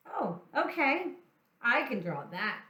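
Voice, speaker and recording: A woman's voice: fairly high pitch, sing-song, takes it slowly, pushed and bright, echoey room. A woman hosting a playful children's storytime, speaking to young children in an encouraging, sing-song way as they draw along. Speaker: children's storytime teacher and host.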